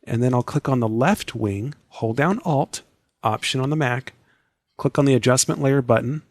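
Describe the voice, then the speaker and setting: A man's voice: mid-range voice, moderate pace, dry recording. Scratchy-voiced man walking through a tutorial. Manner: step-by-step instructive, relaxed and chatty. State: scratchy, losing his voice. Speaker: software tutorial instructor. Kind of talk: screen-recorded software tutorial.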